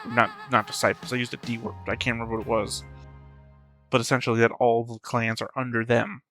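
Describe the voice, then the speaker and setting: A man giving unscripted reaction commentary as a host, rambling as he thinks out loud. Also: medium pitch, little pitch movement, fast talker, soft background music.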